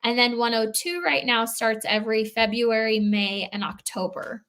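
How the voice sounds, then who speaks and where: A woman's voice: a little high, moderate pace, a bit bright. A woman on a live stream answering viewers' questions in a patient, explanatory way, with frequent filler words. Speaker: program staff answering questions.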